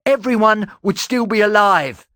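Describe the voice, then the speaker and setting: A man voicing a hot-headed young male ganger who shouts in fury. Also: high voice, unhurried, dry recording, clean studio sound, pitched above the performer's own voice. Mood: accusing. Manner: shouting, raging.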